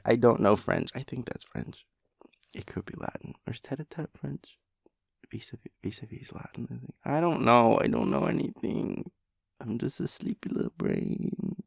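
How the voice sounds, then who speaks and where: A man's voice: mid-range voice, vocal fry, a little mellow, no room sound, clean studio sound, exaggerated voice. A man playing a playful, sleepy, chaotic boyfriend in an intimate roleplay audio, rambling and stammering as he teases and coaxes his lover. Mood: rambling, drowsy. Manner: rambling, stammering, teasing, breaking into whispers and giggles.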